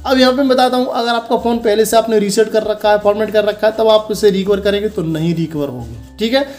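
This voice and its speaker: A young man with a noticeably high voice narrates a casual tech tutorial. He talks straight to the viewer in an instructional way, going step by step and often checking that it is understood. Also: fast talker, a little mellow, faint music behind. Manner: instructional, addressing viewers directly, with repeated check-in tags. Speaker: host of a phone tutorial channel.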